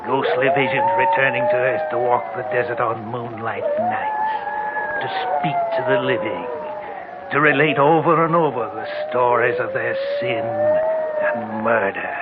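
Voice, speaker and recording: An actor playing an eerie old hermit who narrates ghost stories in a slow, theatrical, gloating way for a radio horror show. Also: male voice, mid-range voice, huge pitch swings, loud music behind the voice, over a noisy crowd. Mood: ominous. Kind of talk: 1940s radio horror acting. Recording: tinny, very noisy old radio recording.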